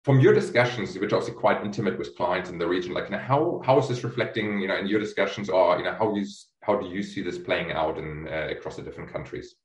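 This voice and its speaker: Low-voiced man hosting, echoey room. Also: fast talker, hardly any pauses. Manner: hesitant, many fillers and restarts. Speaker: host, chief executive of a research firm. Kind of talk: business interview.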